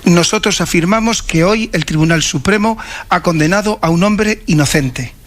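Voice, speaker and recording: A man from Spain with a notably high voice is being interviewed live. He speaks formally and deliberately, with solemn emphasis, and sounds saddened and shaken. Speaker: prosecutors' association president, interviewee. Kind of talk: live radio phone interview.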